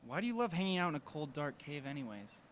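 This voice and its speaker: A man's voice: fairly high pitch, big pitch swings, fast talker, slight background noise. A man playing a supportive, jokey teenage boy, an earnest best friend in an audio drama. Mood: concerned. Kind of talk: fiction podcast audio drama acting.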